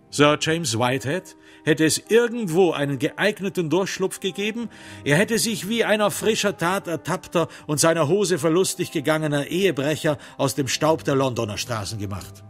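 Deep-voiced man narrating a crime radio play.

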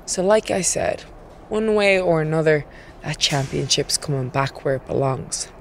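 A woman's voice: low voice, animated, moderate pace, slight background noise. An actress playing a brash, cocky woman wrestler in a video game, taunting and swaggering. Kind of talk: scripted video game cutscene acting.

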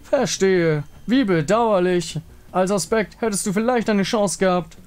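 A man's voice: sits high, darkish tone, clean tone, voice pushed up. Man as a pompous, drawling undead king. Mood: haughty, menacing. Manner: slow, drawn-out, theatrical, pompous. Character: grandiose undead king, lovesick, menacing villain.

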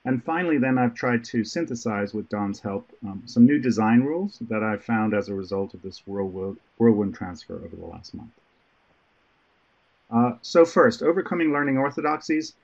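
A man's voice: medium pitch, lively intonation, normal speed, darkish tone. A man from Ireland with a Southern American accent, presenting a webinar in a conversational way with frequent restarts. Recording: muffled online call audio.

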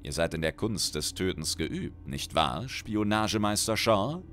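A man's voice: lowish voice, very animated, a little slow, a bit bright, soft background music. A man voicing a menacing, grandiose undead female necromancer with a hollow tone in a fantasy audiobook. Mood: gloating threat. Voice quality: hollow-sounding.

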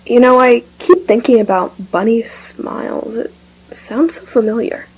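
A woman's voice: a little high, measured pace, darkish tone, husky, rough recording. A woman playing a hesitant, musing, wistful young woman who is testing a video game.

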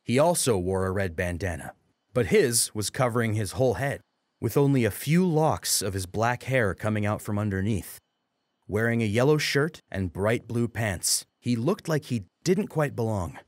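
A man's voice: on the low side, lively intonation, moderate pace, no room sound, studio quality. A man narrating a scripted dramatic story as an audiobook.

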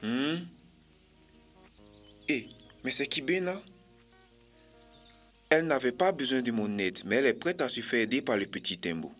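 An actor playing a lonely, self-pitying giraffe in a children's cartoon, speaking in plaintive, drawn-out phrases. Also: male voice, mid-range voice, a bit bright, soft background music, close to an everyday voice. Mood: lonely, glum.

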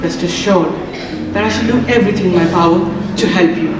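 A young man acting in a stage play as a kind, protective married man, speaking in a calm, measured way. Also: high-pitched, noisy background, natural voice. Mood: calm, soothing. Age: young adult. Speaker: student actor in a stage play. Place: large theatre stage. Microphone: far camera in the hall. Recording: echoey hall from far off, loud music behind.

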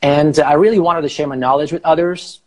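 A man in his thirties, a native Farsi speaker and English teacher, explaining slowly on a live video call through an earphone mic in a small room. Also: fairly high pitch, steady tone. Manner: explains slowly, rephrasing with many fillers. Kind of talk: casual live video chat. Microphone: earphone headset mic on a video call.

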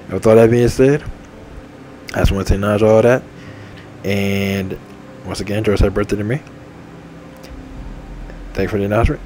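A man in his twenties talking casually and repetitively over a game, enthusiastic and full of shout-outs. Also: fairly low pitch, steady tone, normal speed, pauses often, slight background noise. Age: 20s. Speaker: gaming channel host. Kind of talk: rambling casual monologue over game menu. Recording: faint game music behind. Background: faint game menu music.

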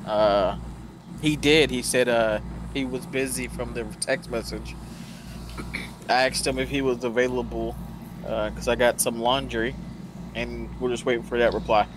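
A man's voice: medium pitch, steady tone, measured pace. A man talking casually and matter-of-factly in a police roleplay game, phrasing things a bit hesitantly. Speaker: roleplay gamer playing a lawman. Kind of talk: casual in-character game talk. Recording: noisy, game vehicle and outdoor ambience.

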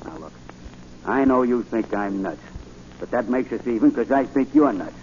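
An actor playing a brash streetwise drifter who argues in gruff slang, in 1940s radio drama style. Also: male voice, medium pitch, animated, moderate pace, a little mellow, close to an everyday voice. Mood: wry, stubborn. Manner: slangy, gruff, argumentative tough-guy talk. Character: brash streetwise male drifter with uncanny foresight. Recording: muffled, hissy 1940 radio recording.

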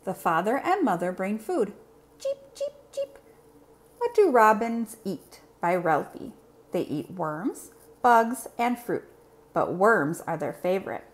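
A woman reading a children's picture book aloud in an expressive, simple storytelling manner. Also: medium pitch, unhurried. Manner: clear, simple, expressive delivery for young children.

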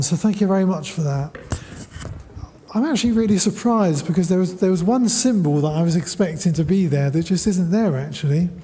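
A middle-aged man with a fairly high but soft, mellow voice addresses a church congregation informally. He talks conversationally, with some hesitation, and the large hall rings around him. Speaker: church service leader. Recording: echoey church hall with background noise.

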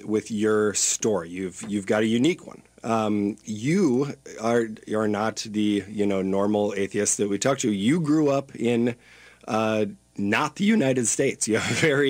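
Lowish-voiced man asking halting questions. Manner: hesitant, many fillers and restarts. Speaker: radio co-host. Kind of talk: casual interview questions. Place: radio studio.

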